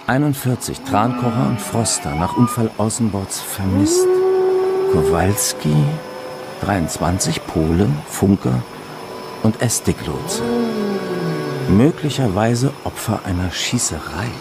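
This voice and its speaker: A man's voice: on the low side, wildly swooping pitch, takes it slowly, loud music behind the voice, heavily acted. A man playing an observant, wry investigator, narrating a crime radio play in the first person with terse phrasing. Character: observant, wry male investigator telling his own story.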